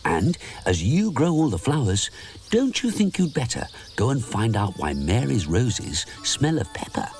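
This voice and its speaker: A man's voice: mid-range voice, big pitch swings, measured pace, a little mellow, faint music behind, pitched above the performer's own voice. A man voicing a cheerful, polite young boy bear in a storybook reading, raised well above his own voice.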